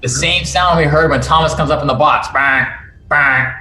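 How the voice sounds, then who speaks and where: A man's voice: on the high side, normal speed, pushed and bright, a little hiss or hum. A man reading stage directions aloud as screenplay narration at a cast table read. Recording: echoey room.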